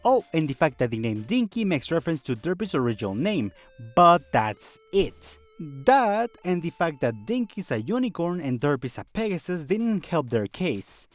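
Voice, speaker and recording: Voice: medium pitch, sing-song, normal speed, few pauses, faint music behind. A video essayist narrating a scripted fandom video in a conversational way, with rhetorical questions and wry asides.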